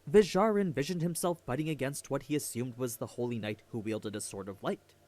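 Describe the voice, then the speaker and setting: A man's voice: mid-range voice, brisk, a little mellow. A man delivers scripted explanatory narration as the narrator of a lore video. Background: background music.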